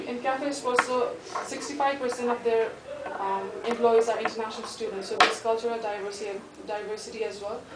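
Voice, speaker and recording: A young woman gives a hesitant class presentation with lots of fillers. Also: fairly high pitch. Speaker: student presenter. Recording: echoey classroom, mic far off, background noise.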